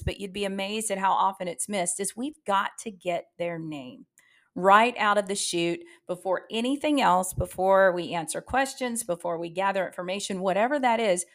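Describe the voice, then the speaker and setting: A woman's voice: medium pitch, a bit bright. Emphatic woman hosting a coaching podcast. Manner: emphatic, direct address with rhetorical questions. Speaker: podcast host and practice coach. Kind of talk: coaching podcast talk to listeners.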